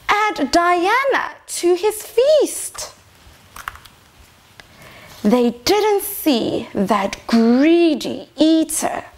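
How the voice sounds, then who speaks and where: A young woman reads a children's story as a teacher would for her youngest class. Her voice is high and swings widely in pitch. She takes her time, shaping the words expressively and inviting the children in. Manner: expressive, prompting children with questions. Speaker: infant teacher reading a storybook. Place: small decorated indoor reading corner.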